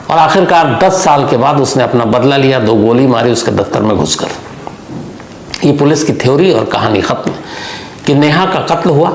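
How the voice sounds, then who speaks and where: A man hosting a true crime story, explaining it deliberately in a gravelly voice and putting questions to his audience. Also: medium pitch. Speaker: host narrating true crime stories. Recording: echoey room, faint background noise.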